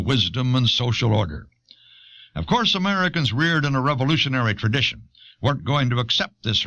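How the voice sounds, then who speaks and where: A man narrating a scripted documentary in a measured, explanatory way, recorded on an old audio cassette. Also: medium pitch, pushed and bright, highs cut off.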